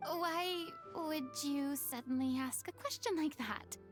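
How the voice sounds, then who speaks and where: Proud young heroine, high-pitched, expressive, earnest, theatrical delivery, video game dialogue, clear background music. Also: female voice, slow. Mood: firm, defensive. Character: expressive, proud young woman, former deity turned ordinary.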